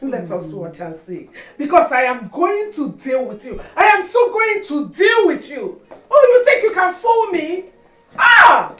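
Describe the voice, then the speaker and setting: A woman's voice: high voice, very animated, unhurried, a bit bright, soft background music, exaggerated voice. An actress playing a scornful, scheming middle-aged queen mother-in-law, theatrical and accusatory, in a film melodrama. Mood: menacing.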